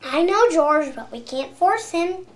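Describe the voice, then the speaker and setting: A woman playing a concerned, gently firm mother in a readers theater story. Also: very high-pitched, expressive, measured pace, voice pushed up. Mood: pleading. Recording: echoey room.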